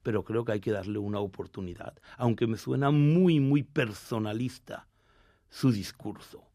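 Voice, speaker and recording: A man, a rabbi from Argentina with a Mexican Spanish accent, answering interview questions in a measured, explanatory way with some restarts. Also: fairly low pitch, mellow, no room sound, studio quality.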